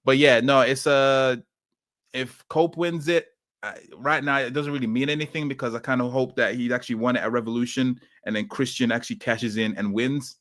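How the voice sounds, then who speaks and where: A man's voice: mid-range voice, steady tone, fairly quick, fairly bright tone, no echo, studio quality. Rambling man on a podcast panel. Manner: rambling, lots of ums and uhs. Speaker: podcast co-host. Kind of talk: casual chat.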